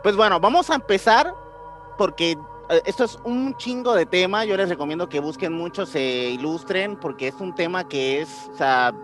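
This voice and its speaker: A man's voice: sits high, animated, normal speed, a bit bright, faint music behind. A man from Puerto Rico co-hosting a podcast in a casual, conversational way. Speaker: podcast co-host.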